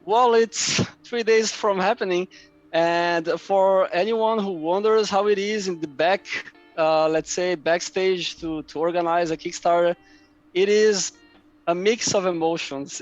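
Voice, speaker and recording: A man's voice: high-pitched, a little slow, muffled recording, faint music behind. A man in his thirties from Brazil with a Brazilian accent and Portuguese as his first language, a guest in a casual chat who often repeats words as he searches for them. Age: 30s. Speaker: guest, map publisher.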